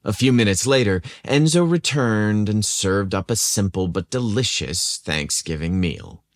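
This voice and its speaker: Male fiction narrator, lowish voice, very unhurried, deliberate descriptive delivery. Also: expressive, no room sound, clean studio sound. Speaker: story narrator.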